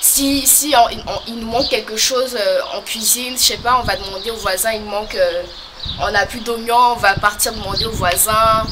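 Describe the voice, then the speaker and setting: A young woman from French Guiana, talking casually to camera as a vlogger, hesitant and full of pauses. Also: on the high side, steady tone, background noise. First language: a local creole or regional language. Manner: hesitant, many pauses and fillers.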